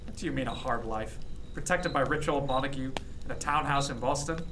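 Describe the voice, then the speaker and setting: A man's voice: mid-range voice, brisk, fairly bright tone, a little hiss or hum, lightly acted. A man playing a melancholy, commanding ship's captain in his twenties in a period seafaring audio drama. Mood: reflective.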